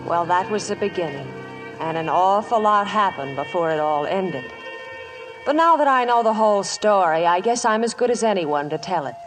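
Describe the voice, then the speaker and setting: A plainspoken, spirited young frontier dance hall girl, played by a radio actress with an American accent. Her voice sits at a medium pitch with clear music behind it, in dramatic old-time radio style. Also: lively intonation, moderate pace, close to an everyday voice. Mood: wry narrating.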